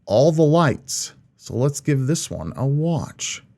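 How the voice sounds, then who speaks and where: A man's voice: a little high, big pitch swings, takes it slowly, vocal fry, background noise. A man hosting a reaction video, giving informal, jokey commentary in a rambling way. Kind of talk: casual, profane reaction commentary.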